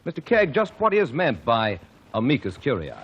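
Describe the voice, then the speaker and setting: A man announcing a 1940s radio drama in a portentous, deliberate style. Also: medium pitch, wildly swooping pitch, fairly bright tone, husky. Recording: dull old radio broadcast with organ.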